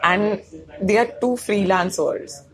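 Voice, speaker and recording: A woman's voice: medium pitch, animated, slow pace, vocal fry, poor audio. A young woman with a Bangalore Indian English accent, a stand-up comic chatting casually and rambling as she slips between English and Hindi mid-sentence. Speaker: stand-up comic and comedy writer. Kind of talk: casual chat.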